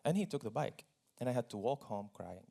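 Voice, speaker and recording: A man's voice: mid-range voice, animated, fairly quick, creaky, mellow. A man from Syria speaking on stage as a guest at a forum, telling his personal story earnestly, with small laughs and repeated phrases.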